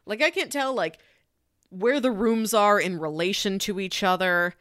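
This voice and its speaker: A woman's voice: medium pitch, normal speed, a bit bright, no echo, studio quality. A woman co-hosting a casual film discussion podcast, analytical and emphatic as she makes her points. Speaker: podcast co-host reviewing a film.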